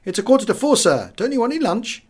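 A man's voice: very high voice, big pitch swings, quick pace, husky, voice pushed up, exaggerated voice. A man voicing an anxious, deferential restaurant waiter in an audiobook, apologetic and pleading. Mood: urgent, calling.

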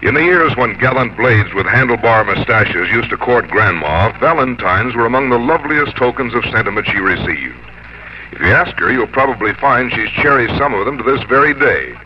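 Male American announcer, mid-pitched, polished and measured, selling on old-time radio with clear background noise. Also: animated. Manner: polished, measured sales delivery. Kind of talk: 1950s scripted radio commercial announcing. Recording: muffled, noisy old radio broadcast.

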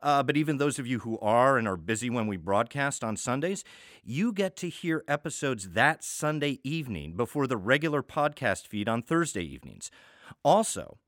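A man co-hosting a podcast, talking through show announcements conversationally. His pitch moves around in an animated way, and the sound is dry and close, with very little room in it. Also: moderate pace, no room sound. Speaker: co-host of an audio theater podcast.

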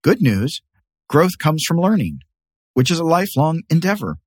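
Guy doing a scripted promo read, really lively pitch, dry studio sound. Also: moderate pace, slightly rough, dry recording. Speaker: advert narrator.

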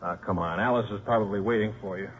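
A man's voice: on the low side, fast, close to an everyday voice. An actor playing a scrappy, plain-spoken devoted father with blunt, colloquial delivery in 1950s radio drama style. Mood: gentle, resigned. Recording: muffled, hissy 1950s radio recording.

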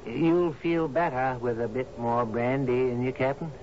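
An actor playing a captain's steady, deferential right-hand man in a 1970s radio drama, measured and soothing. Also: medium pitch, lightly acted. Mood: somber, soothing. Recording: muffled, noisy 1970s radio broadcast.

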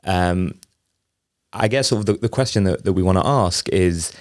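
A podcast host with a low, deep voice asks his guest long, winding questions. He halts often and circles back mid-sentence, and the close mic sounds dry and dead. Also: no echo. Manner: halting, many fillers and restarts. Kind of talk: interview questions.

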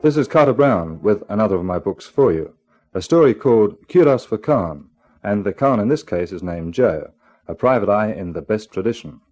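A man's voice: lowish voice, expressive, moderate pace, a little mellow. A man hosting a 1950s radio mystery, giving a scripted introduction. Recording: music under the voice.

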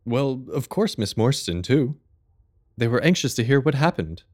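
A man playing an earnest, courteous Victorian gentleman, a detective's loyal companion, in an audio drama, with an eager, polite manner. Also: medium pitch, big pitch swings, normal speed, natural voice.

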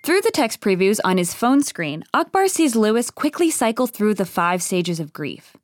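A woman reading scripted narration for an audio comedy drama in a measured, wry manner. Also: medium pitch, very animated, no echo, studio quality, close to an everyday voice.

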